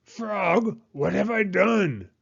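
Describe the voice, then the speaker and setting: An excitable storybook toad, acted out by a man, crying out emphatically. The voice swings widely in pitch and has a husky edge. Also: drawn-out words, dull audio, full character voice. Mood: frantic, pleading. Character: boastful toad turning frantic and lonely.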